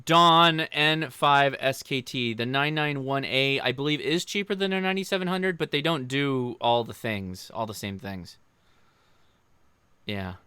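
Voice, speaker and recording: Male American livestream host, crisp and bright delivery, informal and chatty, speaking straight to viewers. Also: medium pitch, a little slow, dry recording. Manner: informal, joking, addresses viewers directly. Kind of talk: casual livestream chat, answering viewer questions.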